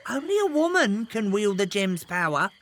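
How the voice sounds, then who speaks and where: A man's voice: high-pitched, sing-song, normal speed, a bit bright, voice pushed up, full character voice. A man voicing an injured female talking bird in a storybook, indignant and pleading in a theatrical way. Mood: earnest.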